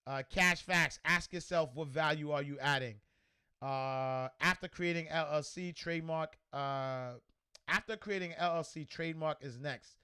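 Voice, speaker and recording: A man with a New York accent hosting a livestream, loudly and energetically answering viewer comments in casual chat. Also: a little high, a little slow, smooth. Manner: loud and energetic, reads comments aloud. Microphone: desk microphone.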